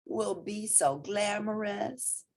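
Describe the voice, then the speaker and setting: A woman's voice: mid-range voice, slow pace, darkish tone, slight background noise, pitched above the performer's own voice. A woman voicing vain, gossipy farm hens in a children's storybook, theatrical and emphatic. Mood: excited.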